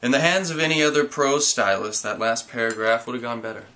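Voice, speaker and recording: A man reading a comic story aloud, animated, breaking into giggles and sudden yelps. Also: medium pitch, a little fast. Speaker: reader.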